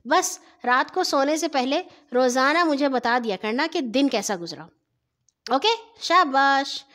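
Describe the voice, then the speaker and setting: High-pitched woman storyteller as a bossy big sister, exasperated, emphatic, reproachful, children's audio story. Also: lively intonation, fairly bright tone. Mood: earnest advising. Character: exasperated older sister scolding then gently advising.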